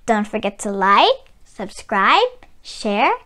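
A woman reading a children's picture book aloud, with expressive, deliberate phrasing. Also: medium pitch. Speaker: storyteller and read-aloud channel host.

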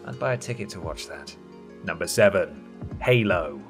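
A man's voice: low voice, animated, normal speed. A man presenting scripted countdown narration with wry, tongue-in-cheek asides. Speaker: presenter of a video game list show. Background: music bed.

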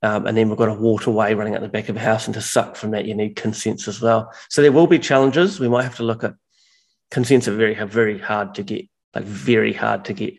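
A man's voice: lowish voice, steady tone, a little fast, creaky. New man rambling on a video call. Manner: rambling, self-correcting explanations. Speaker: mushroom farmer guest. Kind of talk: casual video call chat. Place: large bare indoor workshop room. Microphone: video call, headphones on.

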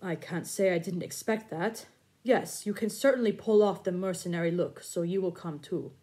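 A woman voicing a determined young male leader who speaks in a measured, steady way in a storybook reading. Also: fairly low pitch, close to an everyday voice. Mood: firm.

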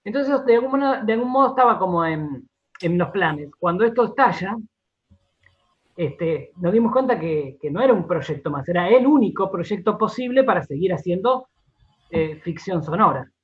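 A man's voice: sits high, expressive, moderate pace, lo-fi sound. An Argentine man explaining things as an interview guest, repeating words and often checking in with short tag questions. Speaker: radio drama director, interview guest.

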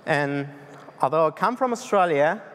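A man's voice: on the high side, expressive, normal speed, a bit bright. Spanish-accented man presenting on stage. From Argentina. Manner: loose, hesitant delivery with many fillers and restarts. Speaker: conference speaker. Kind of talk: tech conference presentation. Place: large dark conference hall on stage. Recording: long hall reverb.

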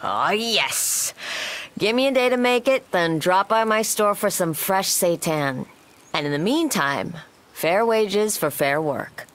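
A woman's voice: mid-range voice, animated, takes it slowly. A woman voicing a dry, guarded young nonbinary butcher in scripted game dialogue, speaking with reserve. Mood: businesslike.

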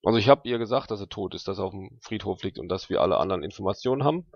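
Gravelly low-voiced man, halting. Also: lively intonation, no room sound. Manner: halting, thinking aloud. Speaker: tabletop role-player. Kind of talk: casual slangy in-character dialogue. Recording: dry, slightly dull sound.

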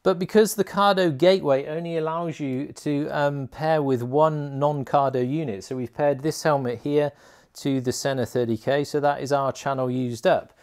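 A man's voice: on the high side, steady tone, moderate pace, a little mellow. A man hosting a motorcycle gear video, walking through an informal product tutorial in a chatty, colloquial way.

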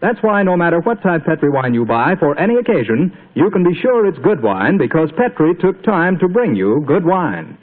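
A man's voice: on the high side, very animated, moderate pace, hardly any pauses. An American man announcing in 1940s radio style with chatty salesmanship. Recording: tinny 1940s radio broadcast.

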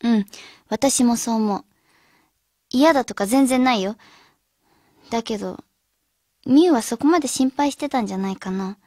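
Radio drama, earnest schoolgirl leader, played by a woman, high voice, dry studio sound. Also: moderate pace, pauses often, a little mellow, dry recording, natural voice. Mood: earnest, gentle. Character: earnest teenage schoolgirl leading her radio club friends.

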